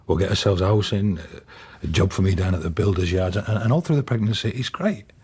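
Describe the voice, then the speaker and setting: An actor playing a guilt-ridden, plain-spoken father in his thirties, husky and halting, in a naturalistic radio drama. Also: deep voice, a little mellow. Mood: reflective. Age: 30s. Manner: halting, confessional.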